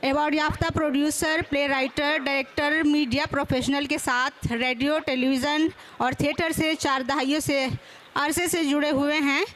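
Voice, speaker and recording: High-pitched woman announcing at a formal event. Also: steady tone, normal speed, fairly bright tone. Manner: formal, courteous, occasional stumbles while reading. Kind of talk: formal scripted introductions. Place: public seminar with a stage. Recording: clear background noise, event ambience.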